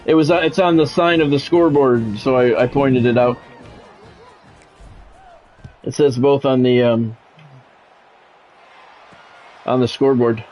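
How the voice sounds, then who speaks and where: A man's voice: medium pitch, fairly even intonation, a little slow, long pauses, a little mellow, faint music behind, low-quality recording. A middle-aged American man doing play-by-play of a football video game on a livestream, mixing polished, clipped announcer calls with casual chat to viewers.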